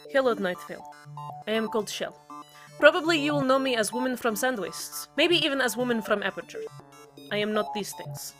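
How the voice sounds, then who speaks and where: A woman's voice: fairly high pitch, expressive, brisk, over music, natural voice. A woman voicing a mysterious woman from the desert wastes who speaks in a formal, clipped way. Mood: solemn. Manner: formal, clipped, plain phrasing.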